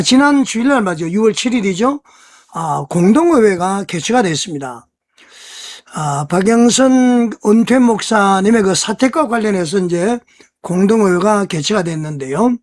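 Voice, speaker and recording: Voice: mid-range voice, a little mellow. A news commentator on an online church news channel, explaining conversationally and putting rhetorical questions to the audience.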